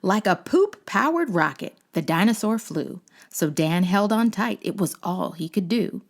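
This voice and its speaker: Woman storybook narrator, lively swinging pitch, slow pace, creaky edges, playful dramatic emphasis. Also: slight background noise. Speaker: children's storybook reader, channel host. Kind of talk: expressive scripted storybook narration.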